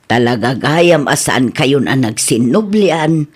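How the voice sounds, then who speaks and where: A woman's voice: extremely low pitch, animated, takes it slowly, husky, full character voice. A woman playing an inquisitive, concerned older mother in a comedy radio drama. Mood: concerned, chiding.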